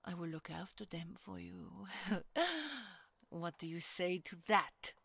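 Woman doing a creaky slow taunting bird queen villain, vengeful, theatrical audio roleplay. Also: on the low side, little pitch movement, a bit bright, no echo, full character voice. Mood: gloating, menacing. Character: vengeful, gloating female beaked palace ruler. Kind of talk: dramatic audio roleplay acting.

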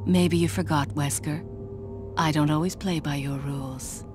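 Low-voiced, cool female spy played by an actress. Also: normal speed, music in the background, natural voice. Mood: confident, defiant. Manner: deadpan, terse, understated. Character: cool, self-assured female spy, sardonic and guarded. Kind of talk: video game cutscene acting.

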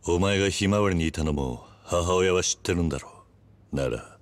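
A man acting a stoic, guarded but protective yakuza in a Japanese video game drama, speaking in a terse, gruff, blunt way. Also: deep voice, fairly quick, dry recording, natural voice. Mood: probing.

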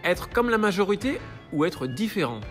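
A man hosting from off camera, reading playful this-or-that questions at a brisk clip. His voice moves up and down with lively intonation. Faint music plays behind him. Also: high-pitched. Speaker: interviewer host. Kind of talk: reading quick-fire questions.

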